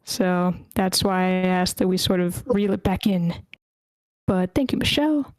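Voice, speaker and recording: A woman's voice: on the low side, unhurried, darkish tone, dry recording, studio quality. A woman informally moderating an online group meeting, addressing participants and running a feedback round. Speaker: moderator of an online table read. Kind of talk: informal moderating, calling on speakers.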